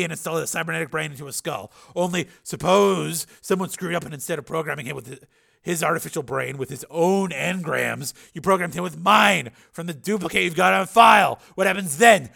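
A man voicing a hard, bitter cyborg man in an audiobook, gravelly and forceful, pressing with challenging questions. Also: a little high, pitched above the performer's own voice, heavily acted.

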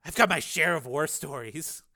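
Audiobook colonel, acted by a man: courteous officer, crisp, lifted pitch, confident formality. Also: normal speed, sharp tone. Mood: polite, wry. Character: courteous, self-assured military colonel, male.

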